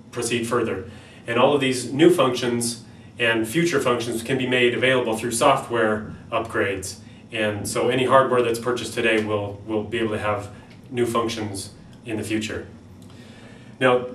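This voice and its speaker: A man in his thirties with a North American accent, giving an explanatory product demonstration in a somewhat halting way. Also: mid-range voice, fairly bright tone. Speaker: company product presenter. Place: small plain-walled room indoors. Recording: roomy, distant mic, faint background noise.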